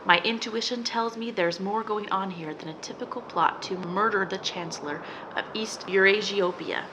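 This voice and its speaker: A woman's voice: medium pitch, normal speed, lightly acted. A woman playing a plucky, earnest girl sleuth. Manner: earnest, breathless, old-fashioned heroine talk. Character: plucky amateur-sleuth twin sister. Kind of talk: 1940s radio drama acting. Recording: noisy background hiss.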